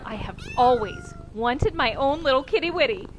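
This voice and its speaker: An excitable daredevil space pilot , played by a woman, in a sci-fi radio comedy. Her voice is high and leaps all over the place. Steady noise sits under the recording. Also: moderate pace. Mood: gushing. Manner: excitable, gushing. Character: thrill-seeking young woman space pilot, gushing. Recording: clear background noise.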